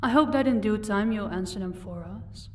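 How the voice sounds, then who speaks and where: A woman's voice: medium pitch, normal speed, darkish tone, natural voice. A woman playing a powerful, commanding vampire lady in an audio roleplay, taunting and condescending with composure. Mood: cool, commanding. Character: powerful female vampire, mocking, commanding, married lady of an estate. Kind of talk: audio roleplay acting addressed to the listener. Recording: distant microphone, faint background noise.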